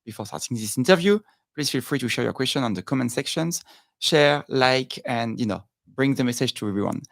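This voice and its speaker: A man hosts an interview in a friendly, halting way, filling pauses with ums and uhs. His voice has a gravelly edge, mid pitch, and the recording is dry and close. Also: animated, no echo. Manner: friendly, halting, many fillers. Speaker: podcast host. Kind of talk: interview hosting.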